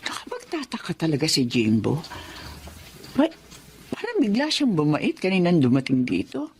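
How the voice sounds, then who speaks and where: A woman's voice: deep voice, huge pitch swings, normal speed, slight background noise, exaggerated voice. An actress playing a kindly, easily alarmed middle-aged mother in a Filipino drama. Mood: puzzled. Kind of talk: Filipino radio-style drama acting.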